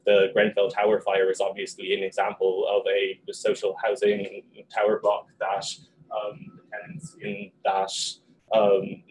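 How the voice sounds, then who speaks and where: A young man on a panel with a low, rather flat voice. He talks quickly and fills his sentences with ums and likes. A faint hiss from a camera across a small meeting room sits under him. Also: poor audio. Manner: quick, animated gesturing, many ums and likes. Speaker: panel guest. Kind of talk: panel discussion.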